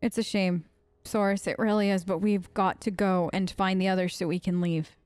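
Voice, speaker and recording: A woman's voice: mid-range voice, level tone, moderate pace, a little mellow, clean tone, no room sound. A woman doing chatty, sarcastic in-character commentary over a video game, close on the mic. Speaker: gaming video host.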